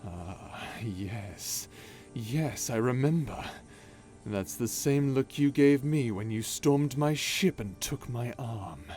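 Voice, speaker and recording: A man plays a cold, taunting space pirate villain in an audio roleplay. He draws his words out slowly and menacingly, sliding around in pitch with mocking relish. Music sits faintly behind him. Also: expressive, a little mellow. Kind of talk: ASMR audio roleplay drama.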